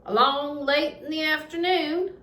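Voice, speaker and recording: Plainspoken farmer uncle read by a woman, very slow, folksy, matter-of-fact storybook tale, echoey room. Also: high-pitched, fairly even intonation, pushed and bright, voice pushed up. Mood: measured. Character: plainspoken farmer uncle recounting a panther hunt. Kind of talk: children's storybook read-aloud. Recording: echoey room with background noise.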